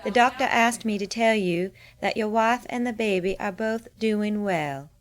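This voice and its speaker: An actress playing a polite, composed hospital nurse in an audio soap drama. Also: female voice, medium pitch, a little slow, natural voice.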